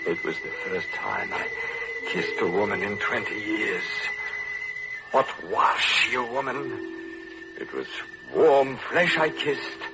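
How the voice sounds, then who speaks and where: An actor playing a haunted, obsessive middle-aged murderer in an old radio horror play, halting and breathless in delivery. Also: male voice, on the low side, animated, heavy background noise. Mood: haunted, feverish. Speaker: first-person narrator and lead actor. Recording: muffled vintage radio recording, loud organ music.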